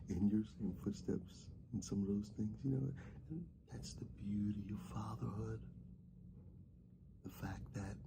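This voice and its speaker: Man, very low voice, soft fatherly ASMR whisper, unhurried and halting, close-miked over constant ambient hiss. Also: vocal fry. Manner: soft, half-whispered and tender, with halting restarts. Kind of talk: ASMR roleplay. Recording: steady ambient background noise.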